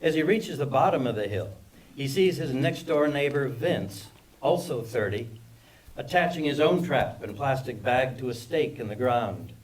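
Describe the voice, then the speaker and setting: A man's voice: mid-range voice, expressive, moderate pace. A man reading scripted stage directions as narrator of a screenplay table read, recorded from a distance. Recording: distant microphone in live reading.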